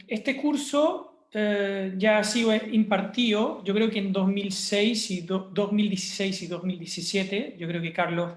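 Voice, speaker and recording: A man with a Chilean Spanish accent giving a university course presentation over a video call, thoughtful and pausing with fillers and restarts. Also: sits high, roomy sound. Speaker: university lecturer.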